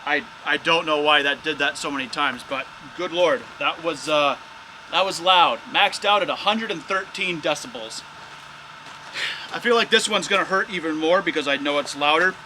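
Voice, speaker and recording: A man's voice: a little high, normal speed, noisy background. A man hosting a casual, hands-on product test of drone gear as a reviewer.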